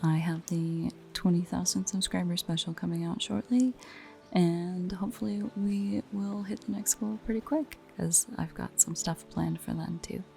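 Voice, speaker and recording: A woman speaking softly and casually in a low-key outro, as an audio creator addressing her listeners. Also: steady tone, moderate pace, gravelly, soft background music.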